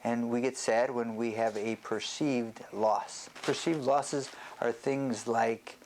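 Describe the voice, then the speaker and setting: A man speaking as a psychiatrist presenting an educational health talk, explaining in a measured way with hesitant pauses. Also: medium pitch, steady tone, darkish tone, a little hiss or hum, rough recording.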